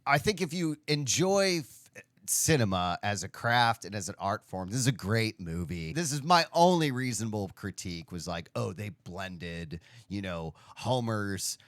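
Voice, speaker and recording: A man's voice: on the low side, normal speed, no echo, clean studio sound. A man co-hosting a casual movie review chat, speaking emphatically and animatedly. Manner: animated, emphatic, lively delivery. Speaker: podcast co-host.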